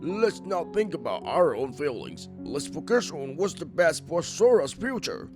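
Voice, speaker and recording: A man's voice: fairly high pitch, big pitch swings, unhurried. A man voicing a level-headed, caring grandfather in his sixties in a manga dub. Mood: resigned, reasoning. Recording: background music under the voice.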